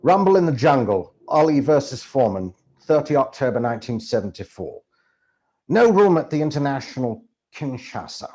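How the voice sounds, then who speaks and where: A man performs a book reading as an amateur actor would, with theatrical flair. His mid-pitched voice moves widely up and down, giving the narration a lively, expressive shape. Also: moderate pace, dull audio. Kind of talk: dramatic book reading to camera.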